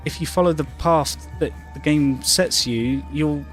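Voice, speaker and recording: A man's voice: on the high side, measured pace. Rambling man chatting over game music. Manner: rambling, hesitant phrasing, sweary. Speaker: game streamer, co-host. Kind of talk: casual live gameplay chat.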